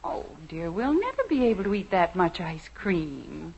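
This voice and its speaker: Genteel American mom, husky lilting voice, soothing old-radio sitcom delivery. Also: female voice, fairly low pitch, very animated, normal speed, darkish tone, full character voice. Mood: pleasant. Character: calm, reassuring middle-aged suburban mother. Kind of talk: 1950s radio sitcom acting. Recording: muffled vintage radio recording.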